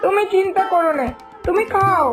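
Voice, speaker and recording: A woman's voice: extremely high pitch, moderate pace, over music. A woman playing a stubborn, ageing mother-in-law in a cartoon, with a coaxing, exaggerated delivery. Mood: reassuring. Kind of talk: scripted cartoon dialogue.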